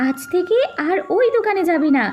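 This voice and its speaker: A woman's voice: very high-pitched, lively intonation, fairly quick, dark tone, soft background music. A woman voicing a poor, careworn widowed mother jackal with a scolding, exasperated manner in a cartoon. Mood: angry, scolding.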